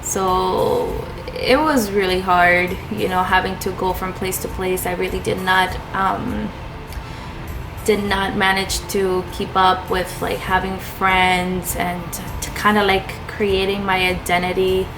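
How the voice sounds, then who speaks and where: A woman's voice: mid-range voice, level tone, slow, music in the background, rough recording. A young woman from Chile talking hesitantly about her personal life in a vlog, with lots of fillers and restarts. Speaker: vlogger.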